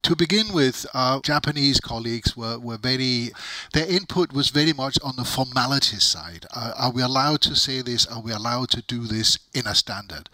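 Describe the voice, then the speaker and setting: A middle-aged man with a very bright, sharp voice, captured dry and close. He is a non-native English speaker giving conversational interview answers. He talks emphatically and at length, repeating words to drive a point home. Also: medium pitch, dry recording, low-quality recording. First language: not English. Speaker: interviewee, technical documentation expert.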